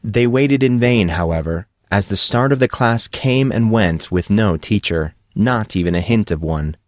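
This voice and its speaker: A man's voice: on the low side, normal speed, no room sound, studio quality. A man reading a scripted story aloud as an audiobook narrator.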